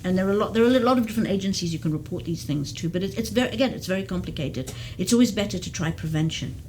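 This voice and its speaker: A woman's voice: medium pitch, very fast, darkish tone, slight background noise. A woman who works as a geriatric case manager, explaining at length in an interview with frequent restarts. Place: community TV studio.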